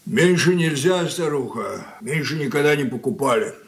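An actor playing a gruff, domineering older merchant father in a radio drama, blunt and scolding. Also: medium pitch, animated, normal speed, close to an everyday voice. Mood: stern, paternal. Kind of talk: Soviet radio drama stage acting. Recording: roomy echoing studio radio-play recording.

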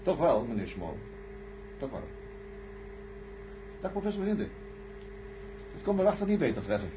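A man's voice: on the high side, big pitch swings, normal speed, dark tone. A man playing an insistent, confrontational psychiatrist in a science-fiction radio play, speaking formally and pointedly. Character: insistent male psychiatrist demanding the hidden truth. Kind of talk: radio drama acting. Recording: noisy old radio recording with hum.

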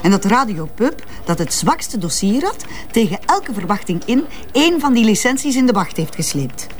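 Cool, coy woman journalist for radio drama; articulate, pointed, with wide-ranging pitch. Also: big pitch swings, normal speed, a little hiss or hum. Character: cool, condescending female interim newspaper editor, knowing and coy. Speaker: actress in a detective audio drama. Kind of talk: naturalistic radio drama dialogue.

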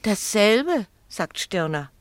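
An actress playing a timid, superstitious young farm maid in a German radio drama, hesitant, naive and deferential. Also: female voice, medium pitch, very animated, slow, no room sound. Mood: troubled, plaintive.

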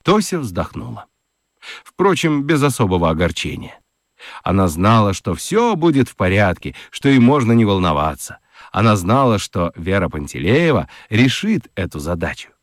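Very expressive male storyteller, dry close sound. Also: fairly low pitch, normal speed, no echo. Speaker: narrator. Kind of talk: expressive storybook narration for children.

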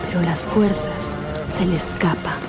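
A woman's voice: sits low, a little slow, music in the background. A woman narrating a radio drama in a scripted, dramatic storytelling style.

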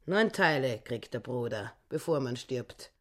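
Eine Schauspielerin spielt im Hörspiel eine habgierige, misstrauische Ehefrau, die bedächtig vorrechnet. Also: female voice, very deep voice, lively intonation, unhurried, full character voice. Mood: aggrieved, calculating. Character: grasping, calculating, suspicious wife. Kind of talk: literary radio play acting.

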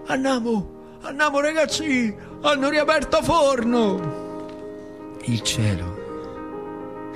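A man's voice: very high-pitched, exaggerated voice. An actor playing an old factory worker recalling his boyhood in a colloquial theatrical monologue, with a rural central Italian accent from northern Lazio. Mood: excited, crying out. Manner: colloquial, vivid, swinging between hushed and cried-out delivery. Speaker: stage actor performing a monologue. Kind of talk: theatrical storytelling monologue for radio.

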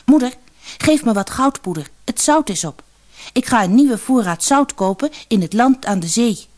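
A woman's voice: on the high side, expressive, moderate pace, darkish tone, voice pushed up. A woman voicing an eager, kind-hearted young boy in a storybook tale, earnest and direct. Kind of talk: storybook narration character.

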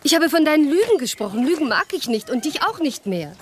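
A woman's voice: high voice, big pitch swings, fast. An actress playing a disillusioned, reproachful girlfriend in a radio crime drama, speaking firmly. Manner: reproachful, firm. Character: disillusioned girlfriend, cool reproachful woman breaking off.

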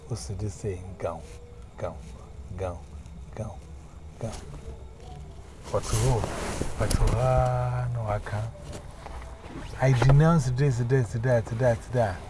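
Man, Ghanaian accent, street preacher, emphatic, repeats words, noisy street recording. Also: fairly low pitch. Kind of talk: preaching testimony. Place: outdoors on a busy street.

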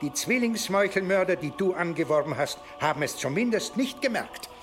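An actor in a radio play playing a powerful medieval archbishop, accusing and mocking in a deliberate manner. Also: male voice, high voice, animated, over loud music. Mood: stern accusation.

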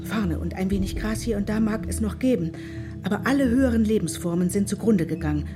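A woman voicing a cold, dismissive mother in a radio play who lectures with disdain, sometimes dropping to a sharp whisper. Her pitch sits in the middle, with music playing under her. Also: a little fast, close to an everyday voice. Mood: lecturing.

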